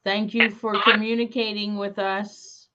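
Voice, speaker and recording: A woman hosting a live paranormal investigation stream, talking in a calm, soothing way. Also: medium pitch, noisy background. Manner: slow, calm, soothing delivery. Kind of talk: live-stream ghost hunt chat. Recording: dull lo-fi stream audio.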